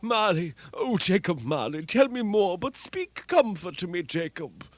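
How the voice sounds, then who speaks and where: Man to play a jumpy old miser, high, lively and slow, scoffing then begging. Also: full character voice. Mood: pleading, frightened. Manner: animated, swinging from scoffing to pleading. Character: old male miser, frightened and doubting. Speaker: narrator and lead actor. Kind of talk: dramatic reading of a classic tale.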